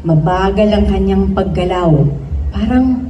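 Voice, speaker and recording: A woman's voice: medium pitch, mellow. Woman reading a story in an echoey room. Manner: measured, serious, deliberate phrasing. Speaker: reader at a staged reading. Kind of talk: dramatic narrated story reading. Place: event room before an audience. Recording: echoey room, distant mic, clear noise.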